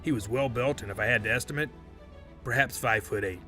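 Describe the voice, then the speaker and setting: A man's voice: mid-range voice, fast talker, sharp tone, faint music behind, lightly acted. A man playing a composed, coldly polite male witness in an audio drama, speaking formally and unruffled.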